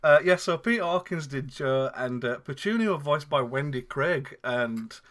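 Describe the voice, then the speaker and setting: A man, co-hosting a relaxed chat. His voice is bright and forceful, and he talks in loose, rambling bursts broken up by laughter. He sounds like he is sitting at a desk mic. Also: on the high side, moderate pace. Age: 30s. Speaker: co-host. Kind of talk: casual chat.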